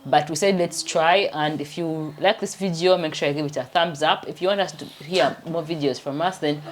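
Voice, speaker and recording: A Ugandan woman in her thirties hosts a chatty home vlog, laughing often and rambling between thoughts. Her voice is low for a woman, with a faint hiss in the background. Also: fairly even intonation, normal speed. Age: 30s. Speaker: vlogger and doctor. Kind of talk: casual vlog chat.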